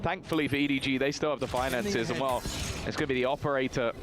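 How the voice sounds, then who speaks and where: A man's voice: medium pitch, fast talker, over loud music. A man giving analytical commentary on a competitive video game match as a co-commentator.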